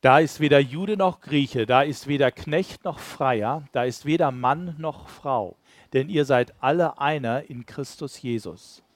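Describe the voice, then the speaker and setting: A man's voice: medium pitch, measured pace, no room sound. Male church interpreter, mid-range voice, dry recording, relaying a sermon in short consecutive phrases.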